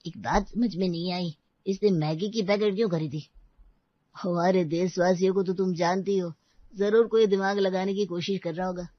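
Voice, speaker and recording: A woman voice actor speaking animated, quizzical lines in an acted storybook. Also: on the low side, normal speed, no echo, close to an everyday voice. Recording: dull dry voice-over.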